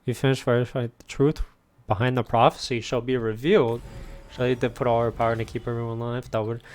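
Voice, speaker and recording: A man's voice: medium pitch, level tone, brisk. A young man casually commentating while streaming a game, laid-back and thinking aloud. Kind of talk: casual let's-play commentary.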